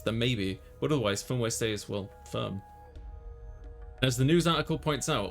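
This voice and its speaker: A man doing a let's play, reading a visual novel aloud and dropping in casual commentary. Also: medium pitch. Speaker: let's player.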